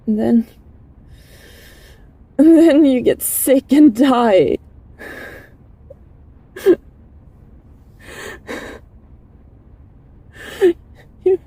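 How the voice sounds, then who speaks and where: A woman playing a lovesick, desperately devoted former bully from the future in an intimate audio roleplay, speaking haltingly and emotionally in a soft, husky voice. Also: medium pitch, heavily acted, low-quality recording. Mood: grieving, tearful. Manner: halting, emotional, breathy sighs and stammers.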